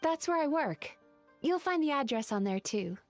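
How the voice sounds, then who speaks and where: Polite, earnest young spirit girl for a fantasy game, higher-pitched voice. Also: animated, moderate pace, soft background music. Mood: grateful, friendly. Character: polite, earnest female dream-eating tapir spirit, young therapist. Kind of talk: video game voice acting.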